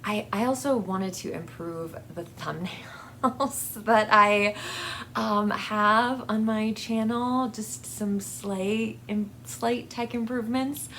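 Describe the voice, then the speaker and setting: Slow, halting woman vlogger over background noise. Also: mid-range voice, a bit bright. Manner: halting, rambling, effusively grateful. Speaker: book channel vlogger. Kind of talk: casual personal update to viewers. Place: basement with air conditioning running.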